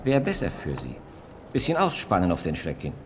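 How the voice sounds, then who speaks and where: Sing-song, insinuating ticket clerk. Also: male voice, low voice, wildly swooping pitch, brisk, a little hiss or hum. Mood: sly, insistent. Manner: sly, repetitive coaxing. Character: insinuating, persistent small-town ticket clerk. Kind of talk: German radio crime play acting.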